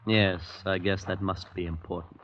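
An actor playing an earnest, boyish young man in a vintage radio drama, speaking in a halting, sincere way. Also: low-pitched, level tone, lightly acted. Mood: polite unease. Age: young adult. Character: earnest lovestruck young American man, increasingly alarmed guest. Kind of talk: 1940s-50s radio drama acting and narration. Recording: dull vintage radio broadcast recording.

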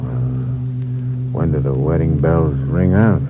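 A low, hard-boiled male voice of a cold schemer confessing his crime in a 1940s radio mystery. He talks in terse slang. The old recording is muffled, with a light hiss. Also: sing-song, slow, gravelly, exaggerated voice. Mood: feigned casualness.

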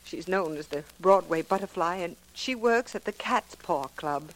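Low-voiced, genteel anxious wife played by an actress. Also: female voice, animated, moderate pace, lightly acted, poor audio. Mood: anxious, pleading. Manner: earnest, hesitant, formal. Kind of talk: 1940s radio drama acting.

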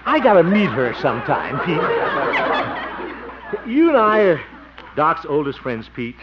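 A man's voice: on the high side, big pitch swings, unhurried. An actor playing a hearty, scheming middle-aged man with blustery exclamations and chuckles in 1950s radio comedy. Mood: eager, conspiratorial. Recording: noisy, dull old radio recording.

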